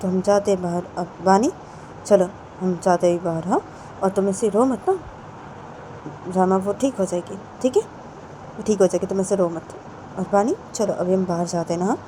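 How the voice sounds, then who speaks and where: A gentle father speaking softly and reassuringly, as a woman storyteller voices him. He repeats calm, quiet urgings. The voice is mellow and subdued. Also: medium pitch, normal speed, slight background noise, close to an everyday voice, poor audio. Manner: soft, reassuring, repetitive. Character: gentle father calming his crying wife.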